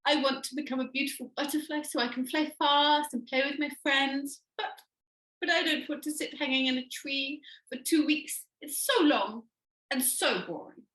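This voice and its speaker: A woman's voice: high voice, moderate pace, a bit bright, reverberant, voice pushed up, poor audio. A woman doing a whiny little caterpillar boy. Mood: tearful. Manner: whining, pleading.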